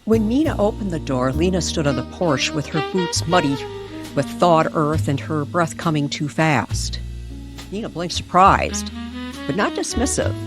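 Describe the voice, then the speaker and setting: A woman delivers dramatic, old-time radio narration in a lower register. Her pace is slow and deliberate and her pitch swings widely for suspense, with music clearly playing behind her. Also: lively intonation. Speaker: storyteller.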